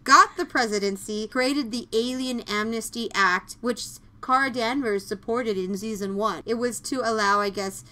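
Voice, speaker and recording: A woman's voice: a little high, normal speed, few pauses, bright, forceful tone, low-quality recording. A woman hosting a podcast, chatting informally and jokily as she reviews television. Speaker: podcast host. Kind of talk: casual TV review discussion.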